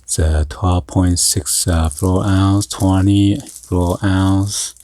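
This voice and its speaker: A man giving halting, murmured ASMR commentary as he hosts an unboxing, with short item-by-item remarks. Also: low-pitched, flat delivery, dark tone. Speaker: unboxing video host.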